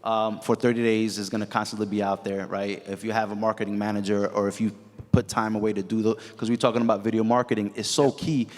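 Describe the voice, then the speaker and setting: Animated man on a conference panel. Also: fairly low pitch, fast. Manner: animated, peppers talk with "right?". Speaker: branch manager, panelist. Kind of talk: casual panel talk. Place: conference hall. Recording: echoey hall.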